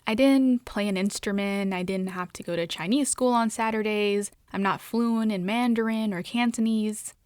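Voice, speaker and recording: A woman's voice: medium pitch, moderate pace, hardly any pauses, a little mellow, dry recording. Woman reading a reflective personal story. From New York. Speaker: guest storyteller, journalist. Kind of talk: scripted personal narration.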